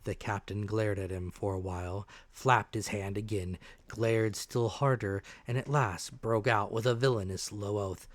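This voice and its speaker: Expressive male adventure story narrator. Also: fairly low pitch, a little slow, few pauses, no room sound. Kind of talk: dramatic audiobook narration of classic adventure.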